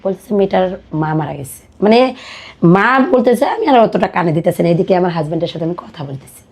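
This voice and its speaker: Husky, bright-voiced Bangladeshi woman. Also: fairly low pitch, animated, a little fast. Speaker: podcast guest. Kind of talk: personal life story told in conversation.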